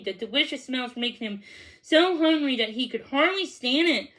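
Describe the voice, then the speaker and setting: A woman reading a children's story aloud, a little haltingly, stumbling over and repeating words. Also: high-pitched, bright, forceful tone, poor audio. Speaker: storybook reader. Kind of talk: children's read-aloud narration.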